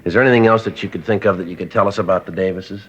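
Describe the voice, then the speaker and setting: An actor playing a terse, stern police detective who asks clipped, businesslike questions in a 1950s radio drama. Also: male voice, low voice, steady tone, racing, a bit bright, slightly rough. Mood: polite. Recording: dull lo-fi 1950s radio recording.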